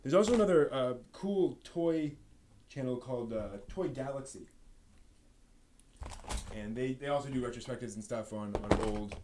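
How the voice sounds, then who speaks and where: A man's voice: mid-range voice, normal speed, long pauses. A man hosting a live stream, chatting casually and off the cuff in a rambling way that trails off. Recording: noisy room background.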